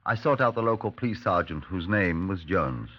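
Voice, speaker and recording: An actor playing a dry, wry British police superintendent from London in a 1950s radio drama. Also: male voice, sits low, lively intonation, moderate pace. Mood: wry, narrating. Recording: dull 1950s radio recording.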